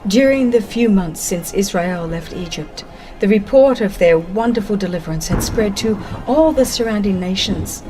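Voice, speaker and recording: A woman reading scripted religious narration in a solemn, measured and expressive manner. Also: medium pitch, poor audio. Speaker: audiobook narrator. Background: background music.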